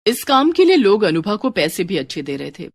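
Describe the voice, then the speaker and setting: A woman's voice: medium pitch, sing-song, fairly quick, breathy edge, no echo. A woman storyteller delivers scripted narration in a mid-range voice. The recording is very dry and close, with no room sound.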